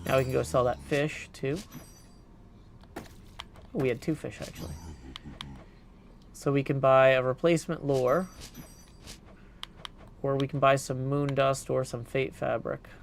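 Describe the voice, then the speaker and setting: A man's voice: mid-range voice, fairly even intonation, unhurried, gravelly, pauses often, a little hiss or hum. A man casually talking through a game he is playing and reading bits of its text aloud. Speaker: streamer practicing game voice acting.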